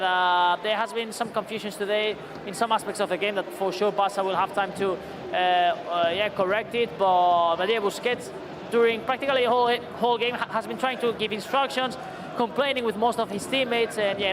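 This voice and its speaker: Man, very high-pitched, live football reporter, explanatory, hesitant, non-native phrasing, noisy background. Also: fairly even intonation, a little fast. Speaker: match reporter on a live show. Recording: clear background noise.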